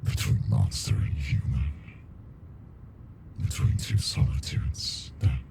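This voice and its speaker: A man voicing an ancient captive alien monster in an ASMR roleplay, deep and gravelly, brooding, intimate and possessively smitten with a human. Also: noisy background, exaggerated voice. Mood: brooding, intrigued. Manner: slow, brooding, deliberate, intimate.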